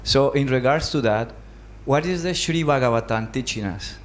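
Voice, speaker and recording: A man with an average-pitched voice asks questions politely and a little tentatively, as a student would. There is faint noise in the background. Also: normal speed. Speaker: newcomer student asking questions. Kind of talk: casual class question.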